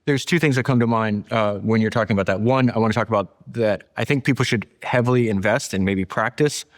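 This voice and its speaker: A man's voice: fairly low pitch, fairly quick. A man hosting a conversational interview, retelling things with animation and emphatic rhetorical questions. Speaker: podcast host. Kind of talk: conversational long-form interview talk.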